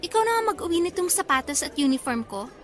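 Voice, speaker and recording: A woman playing a bossy, entitled young older sister in a radio drama, brisk and offhand. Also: high-pitched, animated. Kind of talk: Filipino radio drama acting.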